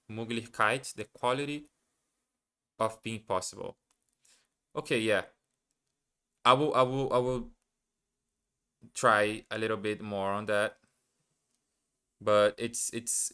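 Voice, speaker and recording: Male language learner, native Portuguese speaker, hesitant and self-correcting, slow delivery, smooth clear voice. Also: fairly low pitch, bright, forceful tone. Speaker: language learner streaming live. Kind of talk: thinking aloud while studying.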